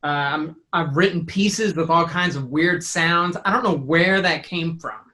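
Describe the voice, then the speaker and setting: A man's voice: fairly high pitch, measured pace, sharp tone. A man talking casually in an interview, rambling and thinking aloud with enthusiasm. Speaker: sound designer and composer; teacher.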